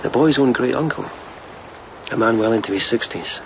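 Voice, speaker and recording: An actor playing a gentle, wry, devoted police lieutenant in a radio drama, speaking softly and with measured intimacy. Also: male voice, medium pitch, background noise, natural voice. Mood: sombre storytelling.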